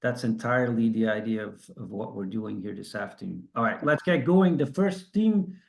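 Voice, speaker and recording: A man's voice: lowish voice, lively intonation, moderate pace, gravelly. A man from Belgium hosting an online industry discussion, chatty and self-deprecating, often restarting his sentences. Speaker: host.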